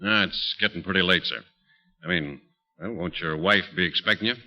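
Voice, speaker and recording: An actor playing a polite, slightly hesitant innkeeper in a 1940s radio drama. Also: male voice, low voice, fairly quick, lightly acted. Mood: polite concern. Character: plain-spoken, deferential male innkeeper. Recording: dull old 1940s radio broadcast.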